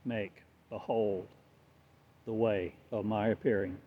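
A man declaiming repetitive, incantatory prophetic verses, his delivery swelling louder and fading away. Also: on the low side, fairly even intonation, slow. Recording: tinny phone-like audio with faint noise.

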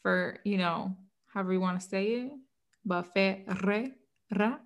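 A woman's voice: medium pitch, a little slow, muffled recording. A woman from Puerto Rico co-hosting a podcast in casual chat, chatty and laughing often, with lots of "like.". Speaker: podcast co-host.

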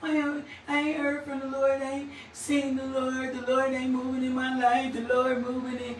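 A woman's voice: sits high, monotone, moderate pace, slight background noise, pitched below the performer's own voice, close to an everyday voice. A woman preacher voicing a self-pitying, grumbling churchgoer who whines about feeling overlooked in a sermon skit. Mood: whining, self-pitying. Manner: whiny, grumbling. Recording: echoey room, slightly distant mic.

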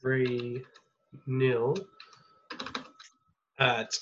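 A man's voice: mid-range voice, completely flat delivery, drawn-out words. A man hosting a casual podcast, swearing freely and laughing as he reads out betting odds. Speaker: podcast host taking bets. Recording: dull lo-fi sound with faint noise.

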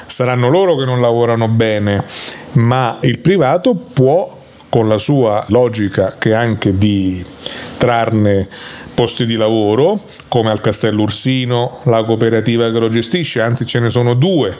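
A man's voice: mid-range voice, unhurried, a little mellow. A man hosting a studio radio show, arguing his points with sarcastic asides and hesitant fillers. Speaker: radio host. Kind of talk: opinionated studio commentary. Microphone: studio microphone.

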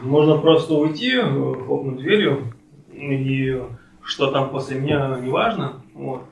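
A man in his late twenties in casual podcast conversation, speaking in a halting, hesitant way with many pauses. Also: mid-range voice, vocal fry, recorded from a distance, muffled recording. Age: late 20s, turning thirty. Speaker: podcast participant. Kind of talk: casual conversation about personal life. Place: friend's home, indoors. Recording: echoey room, off-mic, faint noise.

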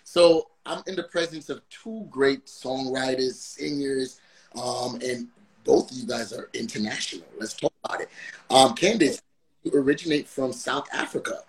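A man from Brooklyn hosting a live music interview show, energetic and hyping up his audience. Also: mid-range voice, normal speed, low-quality recording. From Brooklyn, New York. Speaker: livestream interview host.

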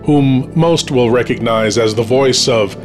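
A man hosting a show, reading scripted countdown narration in a measured, deliberate announcing style. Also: mid-range voice. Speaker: podcast host. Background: music.

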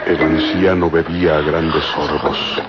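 A man's voice: a bass voice, level tone, unhurried, very noisy. A man narrating a radio serial in a solemn, theatrical, scripted style. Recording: dull old radio recording, loud music.

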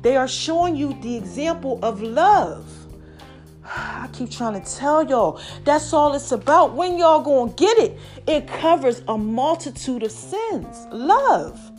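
Lively New woman, high swooping voice, a little raspy, preachy chat to her viewers. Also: a little slow, soft background music. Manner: animated, calls herself Mama, addresses viewers as y'all. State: slightly raspy voice. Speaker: online commentator. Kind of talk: casual preachy chat to followers.

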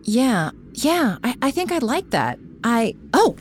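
An actress playing a shy, friendly woman in an audio drama, answering an old friend in a halting, natural way. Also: on the high side, sing-song, slight background noise. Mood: shy, flattered. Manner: hesitant, halting.

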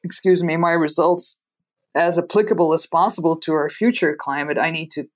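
Middle-aged Belgian woman guest, Dutch accent; lower voice, pauses and rephrases. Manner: thoughtful, many restarts and fillers. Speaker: scientist guest. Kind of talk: interview answers.

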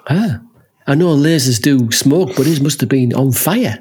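A husky, slightly breathy man chatting casually as a podcast co-host. He laughs a lot and keeps checking in with a quick "huh?". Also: mid-range voice, animated, darkish tone. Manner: chatty, laughs often, tags lines with "huh?". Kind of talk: casual chat.

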